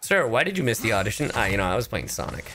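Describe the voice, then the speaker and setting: A man chatting casually on a gaming livestream, animated and profane, swinging between loud outbursts and low asides. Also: rapid-fire, slight background noise. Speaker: streamer and voice actor. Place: indoor livestream setup.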